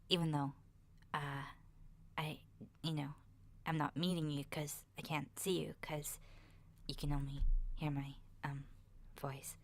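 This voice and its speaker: A woman voicing an awkward ten-year-old boy in a hesitant, rambling ASMR roleplay diary, soft and breathy and often slipping toward a whisper. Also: sits low, exaggerated voice. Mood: shy, awkward. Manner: hesitant, shy, rambling with sighs and self-corrections.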